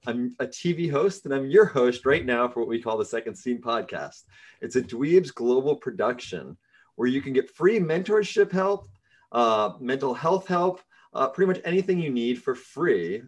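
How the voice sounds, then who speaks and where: A man hosting a casual interview podcast, relaxed, with many fillers, going soft and quiet on serious topics. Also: medium pitch, normal speed. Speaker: podcast host.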